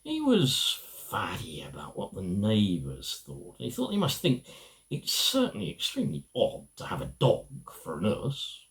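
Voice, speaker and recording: A middle-aged man reads a children's story aloud in a fairly low voice. His pitch swoops widely from phrase to phrase. He takes his time, with pauses and expressive storytelling. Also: vocal fry. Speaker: amateur reader.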